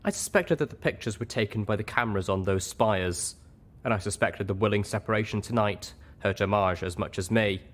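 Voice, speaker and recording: A man narrating a first-person story in an expressive audiobook reading style. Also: fairly low pitch, a little fast.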